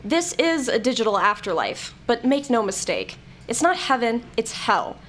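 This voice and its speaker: A bossy, sardonic older female programmer in an audio drama. The voice is fairly high, blunt and impatient, with faint noise and typing behind it. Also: very animated, normal speed, fairly bright tone. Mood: sardonic, grim. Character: bitter, bossy elderly woman programmer, grimly sardonic. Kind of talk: scripted audio drama acting.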